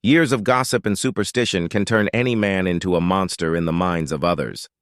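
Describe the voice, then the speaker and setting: A British man plays a solemn, reclusive baron in a bedtime fairytale. The voice is fairly low, quiet and measured, with wry, resigned gentleness and a dry, close sound. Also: steady tone, no room sound, lightly acted. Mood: grave resignation.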